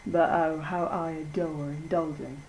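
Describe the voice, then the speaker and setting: A woman voicing a greedy, boastful male wolf in a storybook poem, loud and gloating, a little lower than her own voice. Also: fairly even intonation, measured pace, a little hiss or hum, poor audio.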